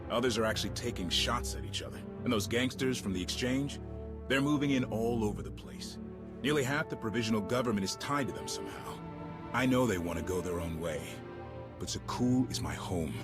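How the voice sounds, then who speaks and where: A man's voice: fairly low pitch, lightly acted. An actor playing an earnest, streetwise male officer in a video game, plainspoken and determined. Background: loud game music.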